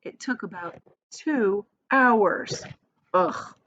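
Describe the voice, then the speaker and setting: A woman's voice: medium pitch, drawn-out words, fairly bright tone, lo-fi sound, a little hiss or hum. A woman reading a children's story aloud like a teacher, expressively, breaking off for plain, casual explanations. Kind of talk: animated storybook read-aloud with casual asides.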